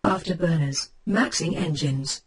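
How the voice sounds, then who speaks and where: A serious spaceship computer from a game, voiced by a man. The pitch is high and the tone very husky. Delivery is clipped and formal, with the processed, distant sound of a played-back clip. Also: unhurried. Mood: crisp, serious. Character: spaceship computer giving brisk, serious acknowledgements.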